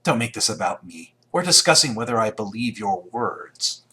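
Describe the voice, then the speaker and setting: Raspy, sly game villain. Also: male voice, fairly low pitch, big pitch swings, moderate pace, bright, forceful tone, full character voice. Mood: wry, suspicious. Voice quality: husky, raspy. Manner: wry, probing, cynical. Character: the Hunter unmasked, sly ruthless male rival villain. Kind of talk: video game voice acting.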